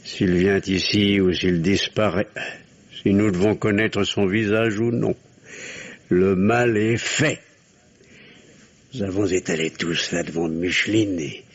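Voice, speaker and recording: Tired guilty dad in a radio play, talks really slow, husky and grave. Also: male voice, sits low, lo-fi sound, heavily acted. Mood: weary, resigned. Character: remorseful, weary middle-aged father, former surgeon who drinks. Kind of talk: French radio drama acting.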